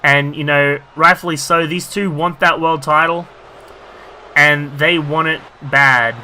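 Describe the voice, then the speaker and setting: A man calling a video game wrestling match in a very bright, fairly high voice, full of "you know" fillers, jumping up in excitement when a big move lands. His voice is a little strained from talking so much, and a faint hiss sits under the recording. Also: measured pace. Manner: rambling commentary with many fillers, bursts of excitement at big moves. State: losing his voice. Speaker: gaming YouTuber commentating a wrestling game. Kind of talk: excited play-by-play commentary.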